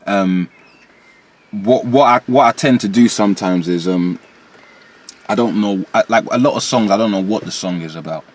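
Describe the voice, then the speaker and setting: A man with a London accent, a rapper guest in a candid interview, telling stories in an animated way with London slang. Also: normal speed. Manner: lively, rising pitch when quoting himself.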